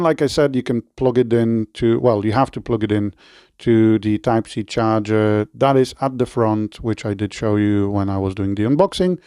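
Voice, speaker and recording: A man with a Polish accent giving a relaxed product review and installation walkthrough, with frequent fillers and self-corrections. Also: on the low side, clear, resonant tone, no room sound, clean studio sound.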